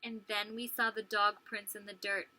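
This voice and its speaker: A woman preschool teacher reading a story aloud to young children, expressive and pausing to ask them questions. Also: mid-range voice, poor audio. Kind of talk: animated children's read-aloud with questions to listeners.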